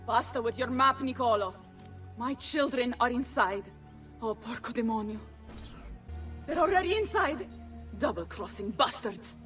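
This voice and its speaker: A woman's voice: on the high side, animated, unhurried, long pauses, fairly bright tone, far from the mic, soft background music. A woman playing a brash, foul-mouthed Italian noblewoman and ruler in a game cutscene, with an Italian accent and speech peppered with Italian. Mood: exasperated. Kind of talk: video game cutscene acting.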